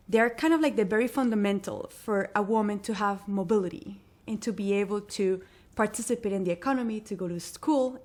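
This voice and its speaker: A woman's voice: medium pitch, a little mellow. A woman from Colombia, answering interview questions earnestly as a social entrepreneur.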